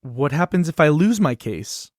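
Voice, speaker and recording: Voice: medium pitch, moderate pace, dry recording, clean studio sound. An actor as an earnest, swooping-voiced talking book. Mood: pleading, indignant. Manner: pleading, indignant, expressive. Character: earnest, anxious award-winning children's book facing a ban. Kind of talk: musical puppet show stage acting.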